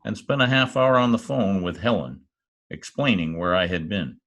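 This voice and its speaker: Man playing a low-voiced, wisecracking private eye. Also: moderate pace. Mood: wry narration. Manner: wry, deadpan wisecracking. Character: wisecracking, hard-boiled private detective, flirty and unflappable. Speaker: lead actor and narrator. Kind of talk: old-time radio detective drama, first-person narration. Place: at home, recorded remotely. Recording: roomy remote home recording, music behind.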